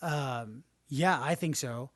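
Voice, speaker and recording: A man's voice: medium pitch, takes it slowly, no echo, clean studio sound. A man co-hosting a gaming podcast, chatting casually, rambling and swearing freely. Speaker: podcast co-host.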